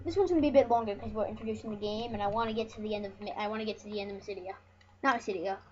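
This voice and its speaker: A high-voiced speaker giving casual let's play commentary as host of a retro gaming series, rambling and often restarting phrases. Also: rapid-fire. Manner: rambling, repeats and corrects phrases. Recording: muffled, noisy home recording.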